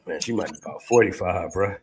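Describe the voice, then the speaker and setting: A man's voice: deep voice, fast talker, husky. A man hosting a live stream, reacting to clips in a laid-back, slangy way.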